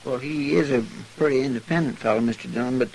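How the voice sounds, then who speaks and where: An American actor playing an anxious, talkative, loyal deputy in an old radio western, with a rural twang and a rambling, hesitant manner. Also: male voice, mid-range voice, fast talker, creaky, a little mellow, close to an everyday voice, low-quality recording. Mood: earnest, reasoning. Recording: old radio recording, faint noise.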